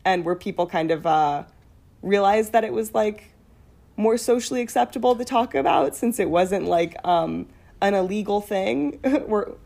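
Young woman, deep-voiced, chatty and laughing, casual conversation. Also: lively intonation, moderate pace, creaky, warm tone. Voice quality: fairly deep voice. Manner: laughing, chatty, swears freely. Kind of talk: casual chat.

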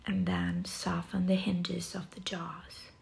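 A woman guiding a yoga nidra relaxation session in a soft, soothing, breathy way, with long pauses between short phrases. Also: on the low side, monotone. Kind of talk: guided relaxation meditation.

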